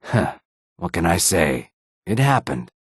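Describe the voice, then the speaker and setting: An actor playing a calm, proud and courteous lizardfolk assassin in a video game, with a measured, menacing, theatrical delivery. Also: male voice, low-pitched, breathy edge, no room sound, studio quality, exaggerated voice. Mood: amused, admiring. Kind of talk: video game character dialogue.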